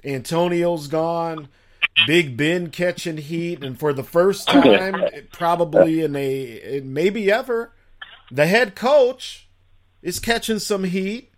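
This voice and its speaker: A man with a raspy voice hosting a casual sports chat show, chatty and animated as he asks questions. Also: high-pitched, slow pace, slight background noise. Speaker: host.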